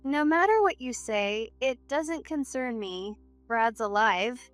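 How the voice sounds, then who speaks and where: High-voiced defiant scheming wife, played by a woman. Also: lively intonation, measured pace, a bit bright, no room sound, faint music behind. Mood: cold, dismissive. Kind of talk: scripted dramatic story dialogue.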